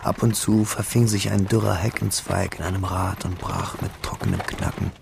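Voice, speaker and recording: A man reading scripted literary narration for a radio drama, with a gravelly voice. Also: sits low, fairly even intonation, moderate pace, background noise. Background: music.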